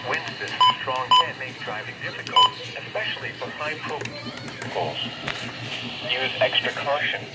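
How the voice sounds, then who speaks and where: A man's voice: medium pitch, wildly swooping pitch, unhurried. A man's formal, impersonal voice reading a scripted automated weather forecast, heard through a radio speaker. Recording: very noisy weather radio played in room.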